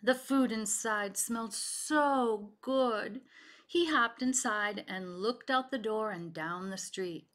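A middle-aged woman reading a children's story aloud with deliberate, dramatic expression. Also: a little high, a bit bright. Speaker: picture book reader. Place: home kitchen, indoors.

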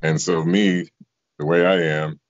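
An extremely low bass voice from a man in his fifties, speaking conversationally as an interview guest. The sound is dry and somewhat dull, like a lo-fi video call. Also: fairly even intonation, unhurried, dry recording. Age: 50s. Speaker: interviewee, bass singer and voice actor. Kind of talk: reflective conversational interview answers. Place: indoor room, video call.

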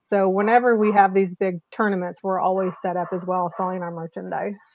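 A woman's voice: mid-range voice, level tone, brisk, a little mellow. A woman in her thirties, a charter agent, chatting casually and upbeat on a live video call over earbuds from a small home office. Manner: chatty, upbeat, many fillers. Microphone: wired earbuds on a video call. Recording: slightly muffled video call audio.

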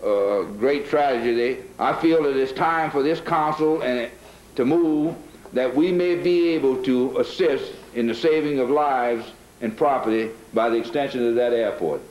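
A man's voice: mid-range voice, unhurried, darkish tone. A man making formal remarks at a public council meeting. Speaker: parish council speaker. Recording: echoey meeting room with faint noise.

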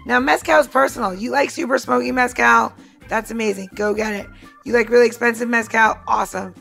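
A woman with a fairly high voice and plenty of vocal fry demonstrates cocktails on a podcast. She is chatty and casual, guiding the listener step by step, with a little music in the background. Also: steady tone, fairly quick, a bit bright. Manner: chatty, talks the listener through each step. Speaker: podcast co-host and bartender.

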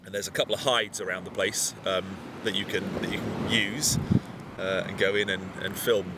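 A man in his forties, from England, chatting casually outdoors on a beach, relaxed with lots of ums and uhs. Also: sits low, moderate pace. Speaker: bushcraft vlogger. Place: outdoors on a sunny beach, windy. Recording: loud wind and sea noise.

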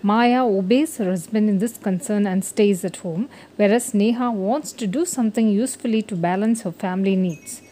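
A woman narrates a scripted bedtime story for children at a middling pitch. The recording carries some faint noise and ambience behind her. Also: normal speed, hardly any pauses, mellow.

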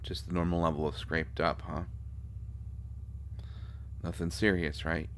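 Guy acting as a low voiced vampire doctor, fussy n protective, teasing roleplay audio, some background noise. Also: level tone, measured pace, a bit bright. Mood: concerned, fussing. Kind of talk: intimate scripted audio roleplay.

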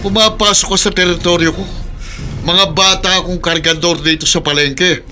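An actor playing a threatening market porter who bullies a rival away in a radio drama. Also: male voice, high-pitched, steady tone, normal speed, bright, forceful tone, a little hiss or hum. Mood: menacing. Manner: threatening, taunting. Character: bullying market porter, man, menacing.

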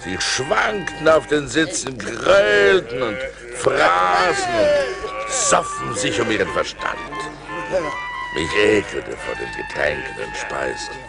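A man's voice: mid-range voice, huge pitch swings, takes it slowly, creaky, loud music behind the voice, heavily acted. An actor playing an adventurous seafarer recounting his voyages in a theatrical, precise German audio-play style, on a vintage vinyl record. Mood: grave, dramatic. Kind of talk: dramatic scripted storytelling, audio play.

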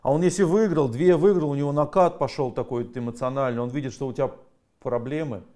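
A man's voice: medium pitch, fairly even intonation, fast talker, a loud crowd. A man hosting a video-call interview from home, chiming in with short agreeing remarks and casual comments. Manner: brief agreeing interjections, friendly familiar address. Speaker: host interviewer. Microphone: video call microphone.

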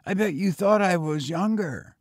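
A man acts out a frail, undersized homeless youth of eighteen in a narrated story. The voice is pitched well up and husky. He talks in a knowing, insistent, colloquial way, weary beyond his years. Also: normal speed, no room sound, studio quality. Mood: casual, friendly.

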